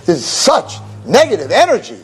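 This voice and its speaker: A kind old artist from a nursing home tale, played by a male storyteller. He is earnest and insistent, with a husky, highish voice and very animated intonation. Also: normal speed, soft background music, heavily acted. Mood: exasperated. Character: kind, cheerful, rich old artist in a wheelchair.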